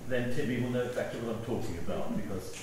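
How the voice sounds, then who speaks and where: A man giving an informal, gently humorous anecdotal eulogy at a memorial service. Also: medium pitch, fast. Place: large stone church, indoors. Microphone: far camera. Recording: echoey church, distant mic, noisy.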